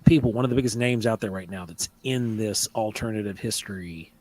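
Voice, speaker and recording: A man's voice: on the low side, a little fast, poor audio. A man hosting a live paranormal talk show, chatting casually and animatedly, sometimes mocking critics. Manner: animated, mocking, trails off and restarts. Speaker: podcast host. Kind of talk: casual live radio chat.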